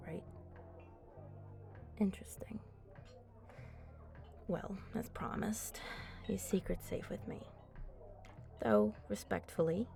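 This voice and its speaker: A woman voicing a flirty, teasing female vampire in an ASMR roleplay, with a breathy voice that often drops to a whisper. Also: on the low side, full character voice. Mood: soothing, apologetic. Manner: slow, teasing, coaxing.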